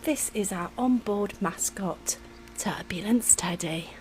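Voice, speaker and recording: A woman doing an ASMR roleplay as a reassuring, attentive air hostess, speaking softly and soothingly, sometimes in a whisper. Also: medium pitch, slow, faint music behind. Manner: soft-spoken, soothing, partly whispered.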